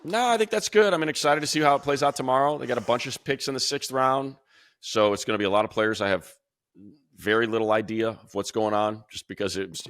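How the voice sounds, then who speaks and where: A man's voice: sits low, creaky, fairly bright tone, no echo, clean studio sound. A male radio sports announcer co-hosting a casual football podcast, chatty and rattling off long lists of player names. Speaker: radio play-by-play announcer, show co-host.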